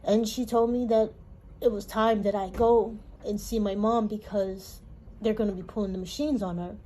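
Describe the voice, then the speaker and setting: A woman from Hawaii, telling her life story in a halting, emotional way with pauses. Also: medium pitch, fairly even intonation, dark tone, a little hiss or hum, poor audio. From Honolulu, Hawaii. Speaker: interviewee. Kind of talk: personal testimony.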